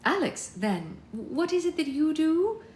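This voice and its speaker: A woman's voice: high-pitched, very animated, a little slow, darkish tone, pitched above the performer's own voice. A woman voicing a prim, middle-aged, respectable mother who is politely cutting, gushing and condescending in a storybook reading. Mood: probing. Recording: roomy echo, background noise.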